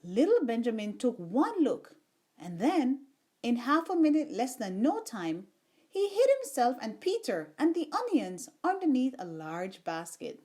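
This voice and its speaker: Female storybook narrator, higher-pitched and animated, taking her time with each phrase for young listeners. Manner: expressive, unhurried storytelling. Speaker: children's story reader. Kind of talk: read-aloud storybook narration.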